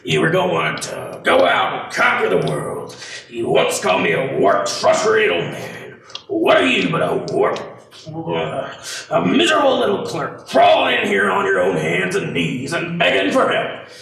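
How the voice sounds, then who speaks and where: A man playing a scornful, miserly old banker in a radio play, sneering and bullying in a gravelly voice. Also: a little high, huge pitch swings, a bit bright, heavily acted. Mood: scornful, gloating. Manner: sneering, drawn-out mock surprise. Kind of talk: audio-only radio play acting. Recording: echoey room, distant mic, faint noise.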